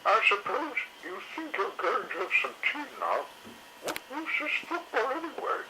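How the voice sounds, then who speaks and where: A man voicing a fussy, grumbling female dinosaur in a high falsetto. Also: big pitch swings, unhurried, exaggerated voice. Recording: noisy recording.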